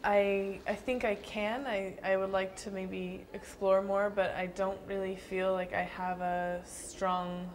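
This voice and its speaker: A young woman dancer answering interview questions thoughtfully, with frequent pauses and restarts. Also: medium pitch, little pitch movement, a little hiss or hum. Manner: thoughtful, hesitant with fillers and restarts.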